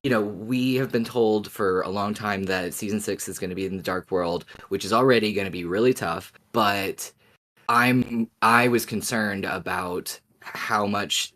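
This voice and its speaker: Low-voiced, thoughtful podcast co-host. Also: measured pace, a bit bright. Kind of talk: casual fan discussion of an audio drama.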